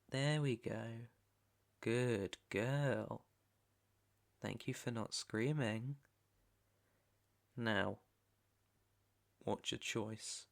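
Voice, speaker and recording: Man acting as a slow-talking British mob heir. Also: mid-range voice, gravelly. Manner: slow, deliberate, commanding, coaxing. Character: controlling young male mafia heir, smooth, possessive, menacing. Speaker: ASMR roleplay voice actor. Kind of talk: ASMR roleplay, second-person dramatic acting.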